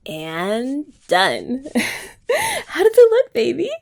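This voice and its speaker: Slow, lilting woman with a gravelly edge. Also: high voice, wildly swooping pitch. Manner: soft, teasing and affectionate, often laughing. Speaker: ASMR roleplay creator. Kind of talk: ASMR girlfriend roleplay.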